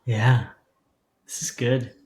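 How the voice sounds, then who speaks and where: Male podcast host, conversational, reflective and halting in delivery. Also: medium pitch, little pitch movement, darkish tone. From Germany. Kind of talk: casual interview chat.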